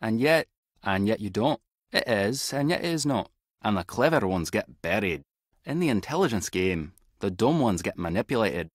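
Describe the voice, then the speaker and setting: A clever Scottish intelligence agent in an adventure game. His husky voice sits fairly low and swings freely in pitch. He is wry and tech-savvy, recorded close and dry. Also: very animated, normal speed, dry recording. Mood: wry, explanatory. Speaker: video game voice actor. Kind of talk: scripted adventure game dialogue.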